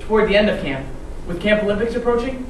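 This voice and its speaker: Theatrical storyteller for ensemble readers theatre, emphatic, mid pitch, recorded far off in a very echoey hall. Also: lively intonation, fast talker, background noise. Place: large indoor performance space, far mic.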